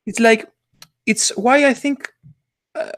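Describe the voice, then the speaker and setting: Halting man, dry sound. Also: very high voice, fairly even intonation, a bit bright, dry recording. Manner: halting, many restarts and fillers. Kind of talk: casual chat.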